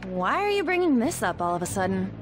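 A woman playing a sincere, sensitive teenage schoolgirl in a video game, earnest and expressive. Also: mid-range voice, quick pace, natural voice. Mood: earnest, hesitant. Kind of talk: English video game voice acting. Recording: music behind the voice.

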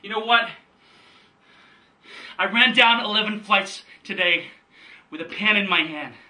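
A man's voice: high voice, a little slow, pushed and bright, full character voice. A man performing a theatrical monologue as an anguished, defiant grown son confronting his father, in an impassioned delivery. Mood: furious, defiant. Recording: distant microphone.

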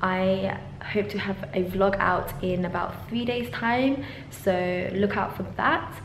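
A woman's voice: medium pitch, slow pace. Chatty young woman in an echoey office. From London, England. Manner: chatty and animated, many ums and laughs. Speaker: PhD student vlogger. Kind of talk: casual vlog to camera. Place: university office lab, indoors. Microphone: camera on desk, then handheld selfie. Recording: very echoey room, faint hum.

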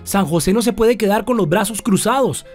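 An actor playing a resolute, concerned colonial town leader in a radio drama, emphatic and deliberate. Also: male voice, high voice, faint music behind, lightly acted. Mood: firm, worried.

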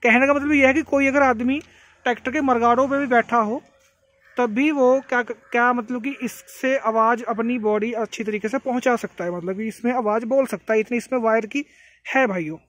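A man's voice: very high voice, steady tone, brisk, fairly bright tone. A man giving a casual, chatty product demonstration outdoors, often repeating himself and addressing viewers as brothers. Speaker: farming vlogger. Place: outdoors beside a tractor by a green field.

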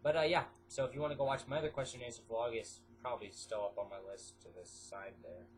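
A stuffy-nosed teenage boy chats loosely into a desk webcam, his voice sitting at an ordinary middle pitch. He wanders from topic to topic with plenty of "uh" and "um." A faint hiss runs under the small bedroom recording. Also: steady tone, fast. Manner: rambling, filler-heavy, jumps between topics. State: stuffy nose. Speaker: vlogger. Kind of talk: casual webcam vlog.

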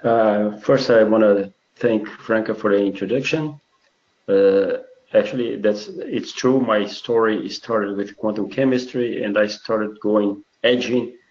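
Brazilian male academic, lowish voice, hesitant lecture delivery, dull echoey webinar sound. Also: a little mellow. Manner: halting, many fillers and restarts. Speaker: chemistry researcher giving a webinar. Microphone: computer mic on a video call. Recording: roomy, muffled webinar audio.

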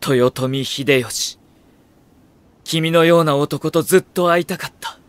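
An actor playing a refined, cerebral young strategist in an audio drama, with elegant, courtly, probing phrasing. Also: male voice, a little high, unhurried, clean tone, close to an everyday voice. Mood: moved, resolute. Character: refined, cerebral young male strategist, coolly devoted to his lord. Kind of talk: Japanese audio drama acting.

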